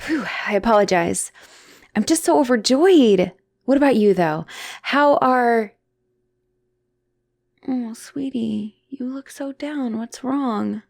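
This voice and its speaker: A woman's voice: a little high, expressive, slow, creaky, long pauses, full character voice. A woman playing an obsessive, lovestruck asylum patient in a dramatic roleplay audio, theatrical and doting with a menacing edge. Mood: doting, concerned. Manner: theatrical, doting, swinging between gushing and hushed menace.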